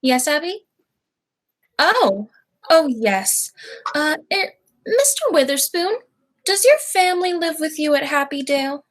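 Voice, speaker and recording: A woman's voice: sits high, expressive, unhurried, pauses often. A young woman playing a kindly, prim elderly aunt in a radio play, motherly and fussy. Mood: prim, innocent.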